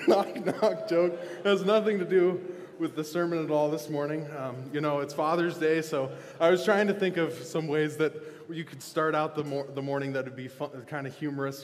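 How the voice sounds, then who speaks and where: A male pastor giving a casual, joking sermon, often restarting and filling pauses with "um". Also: fairly high pitch, slight background noise. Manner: relaxed, joking, halting with fillers. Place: church sanctuary. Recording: long reverb of a church hall.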